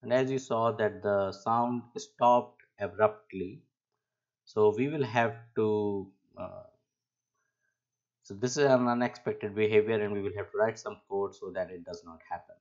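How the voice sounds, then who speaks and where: A man giving an unscripted coding tutorial as an instructor, explaining step by step in a halting way, on a dull lo-fi microphone. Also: fairly low pitch, darkish tone. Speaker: programming instructor.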